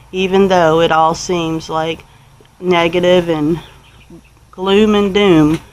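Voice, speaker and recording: A woman's voice: fairly low pitch, slight background noise, poor audio. Folksy Southern American woman telling a story. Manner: folksy, rambling, with country turns of phrase. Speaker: homestead vlogger telling a personal story. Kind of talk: casual spoken storytelling to viewers.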